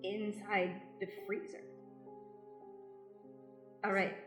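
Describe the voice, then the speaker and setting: A woman playing a plucky, excitable young woman in an improvised comedy radio play. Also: medium pitch, very slow. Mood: nervous bravado. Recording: roomy echo, music behind.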